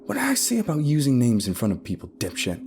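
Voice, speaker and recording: A man voicing a tough, short-tempered woman detective with a gruff, blunt manner in a narrated story. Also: deep voice, big pitch swings, moderate pace, noisy background. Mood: irritated.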